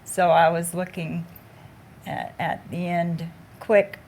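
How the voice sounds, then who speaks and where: Female panelist sharing her story; slow, hesitant delivery, lowish voice, faint noise. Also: level tone, darkish tone. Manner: slow, halting, with many fillers. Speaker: patient panelist. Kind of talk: panel discussion, personal story.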